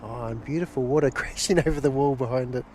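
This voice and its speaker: A man's voice: medium pitch, quick pace. A man with a New Zealand accent chatting casually and enthusiastically as a live plane-spotting host. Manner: enthusiastic, chuckly, reads out viewer messages. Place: outdoors near a runway and seafront. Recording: clear outdoor vehicle and ambient noise.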